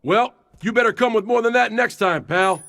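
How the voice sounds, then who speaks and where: A man's voice: high voice, normal speed, background noise. A man playing a brash, fearless hero wrestler with a sarcastic manner in a video game cutscene. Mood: defiant. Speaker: lead wrestler character. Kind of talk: scripted game cutscene dialogue.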